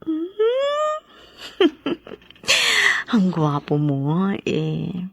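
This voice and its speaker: An actress playing an affectionate young wife in love in a radio drama, breathy and often dropping into whispers. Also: female voice, on the low side, wildly swooping pitch, very slow, darkish tone, dry recording, dull audio, full character voice. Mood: fond, teasing.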